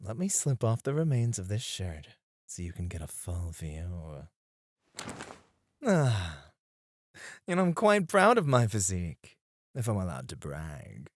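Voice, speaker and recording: A man acting a flirtatious, self-assured male stripper in an ASMR roleplay, suave and teasing, with breathy asides, close on the microphone. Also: low voice, wildly swooping pitch, a little slow, pauses often, no echo, exaggerated voice. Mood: smug, playful. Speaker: ASMR voice actor.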